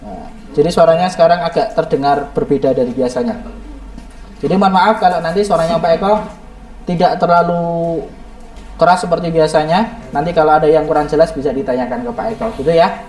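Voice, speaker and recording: A man teaching a class, hoarse and slightly raspy from a cold, quizzing his students in a lively way. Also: on the high side, fairly quick. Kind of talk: classroom lesson. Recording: echoey classroom from far off, students behind.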